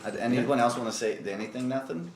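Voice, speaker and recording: A man's voice: medium pitch, fast talker, crowd noise. Profane joking man in an echoey room. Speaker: podcast co-host. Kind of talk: crude casual banter.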